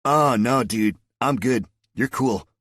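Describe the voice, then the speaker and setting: A man's voice: medium pitch, normal speed, no room sound, clean studio sound, voice pushed up, lightly acted. Man doing a laid-back surfer-dude soldier. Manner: relaxed, casual drawl.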